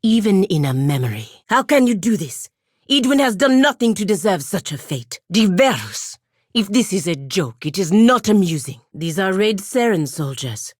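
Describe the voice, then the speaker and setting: A woman voicing a stern, proud female warrior in a video game, speaking formally and bluntly with measured disdain. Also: sing-song, no room sound, clean studio sound. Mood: indignant, urgent. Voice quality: very low-pitched voice. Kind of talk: video game voice acting, formal scripted dialogue.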